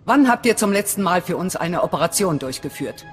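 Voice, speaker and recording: A woman's voice: on the low side, animated, brisk, close to an everyday voice. A woman playing a hard, distrustful resistance fighter in a video game, speaking in a blunt, commanding, menacing way. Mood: challenging. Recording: long reverb, faint music behind.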